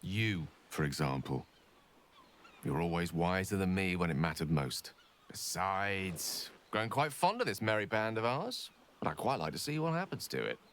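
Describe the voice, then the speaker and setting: Casting: eloquent scholarly wizard, lowish pitch, very animated melody, earnest with dry wit. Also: male voice, moderate pace, a little hiss or hum, exaggerated voice. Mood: fond, reflective. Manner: articulate, theatrical, ornate phrasing. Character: learned, eloquent wizard, earnest and gently wry. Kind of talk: dramatic video game acting.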